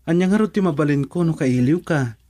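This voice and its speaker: A man's voice: on the high side, expressive, a little fast, warm tone, natural voice. A man with an Ilocano accent playing an earnest, persistent young boyfriend in a romantic radio drama. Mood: affectionate, teasing.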